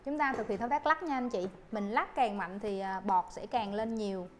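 A young woman, a barista instructor, guiding viewers through a coffee recipe politely with soft fillers, recorded on a lapel mic at a shop counter. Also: medium pitch, normal speed, soft background music. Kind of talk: coffee-making tutorial.

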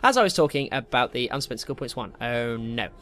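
A man's voice: mid-range voice, animated, fairly quick, a bit bright. A young British man giving casual, rambling, jokey gameplay commentary, easily distracted as he goes. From Britain. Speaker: gaming video host.

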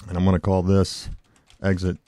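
A hoarse man with a cold, casually walking through a software tutorial with lots of fillers and self-corrections. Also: low-pitched, completely flat delivery, moderate pace, dry recording. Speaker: tutorial host. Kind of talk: screen-recorded software tutorial.

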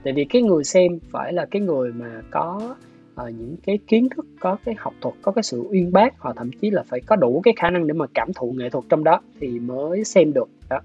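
A man in his thirties with a southern Vietnamese accent explains his views in a measured, hesitant interview style. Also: fairly high pitch, animated. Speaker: guest, animation director. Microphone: video call. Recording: dull video-call audio, music behind. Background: soft plucked guitar music.

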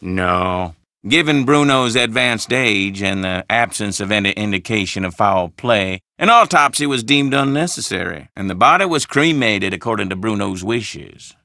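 A creaky, courtly old Southern gentleman. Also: on the low side, animated, no room sound, studio quality, exaggerated voice. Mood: genteel, reflective. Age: older. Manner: florid, courtly, drawn-out phrasing. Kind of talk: video game character dialogue.

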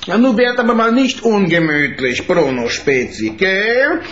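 An actor playing a smug, menacing crime boss with false friendliness in a radio play. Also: male voice, sits high, big pitch swings, measured pace, fairly bright tone, dull audio, full character voice. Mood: oily, dismissive. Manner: oily, mock-friendly. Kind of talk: German radio play acting.

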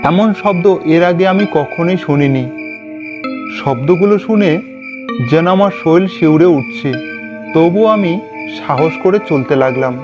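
A man's voice: on the high side, unhurried, long pauses, lo-fi sound. A man narrating a scripted ghost story in the first person. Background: background music.

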